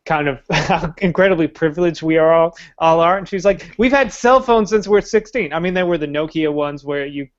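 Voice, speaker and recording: A man's voice: fairly high pitch, fairly quick, few pauses. A man in his late twenties with a slightly raised voice tells jokey, profane stories in casual podcast chat, laughing along. The audio is dull and lo-fi. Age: late 20s. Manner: joking, laughing, profane storyteller. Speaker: podcast co-host.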